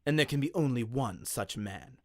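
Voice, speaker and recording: Guy doing a cruel old king, low and measured, quietly menacing, dry storybook recording. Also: lively intonation, dry recording, natural voice. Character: tall, cruel, stern aging king, coldly menacing.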